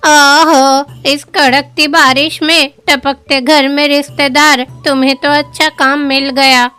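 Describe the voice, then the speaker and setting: A woman voicing a sly village neighbor who spreads gossip in an insinuating, drawn-out way, for a cartoon story. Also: high voice, flat delivery, bright, forceful tone, soft background music, full character voice.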